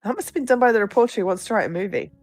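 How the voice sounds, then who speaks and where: A woman's voice: medium pitch, rapid-fire, a little mellow, no echo, soft background music, lightly acted. A woman voicing a positive, matter-of-fact campaign aide in a visual novel, blithe and consoling. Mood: upbeat.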